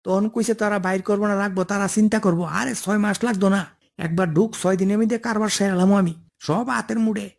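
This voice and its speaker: A man's voice: high-pitched, fairly even intonation, fast talker, gravelly. A man voicing a scheming, cocksure office clerk in a comic cartoon, pushy and wheedling, with an eastern Bengali dialect. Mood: cocky, scheming.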